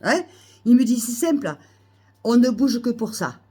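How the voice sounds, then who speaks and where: A woman's voice: on the high side, sing-song, a little slow, slightly rough. Indignant woman ranting with a cold. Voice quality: hesitant restarts and fillers. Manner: indignant, repetitive, rising to loud outbursts. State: has a cold, coughing. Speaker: vlogger. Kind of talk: ranting monologue to camera. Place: Almeria, Spain.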